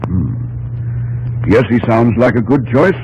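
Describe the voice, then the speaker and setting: An actor playing a dreamy, thoughtful American president in an old radio drama, musing and formal. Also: male voice, medium pitch, lively intonation, slow pace, a little mellow. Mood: musing, resolved. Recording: muffled old radio recording, faint noise.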